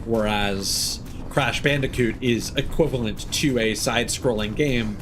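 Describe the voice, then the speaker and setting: A man's voice: mid-range voice, measured pace, noisy background. A man doing casual gaming commentary as a live streamer, rambling and opinionated as he thinks aloud. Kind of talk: casual gaming commentary, chatting while playing.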